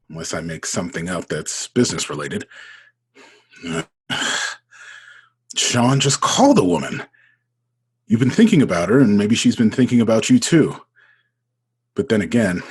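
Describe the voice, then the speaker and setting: A man acting in an audio drama as a nervous, smitten businessman, with a hesitant, self-doubting delivery and a husky voice. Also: on the low side. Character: nervous, smitten businessman talking himself into phoning a married woman.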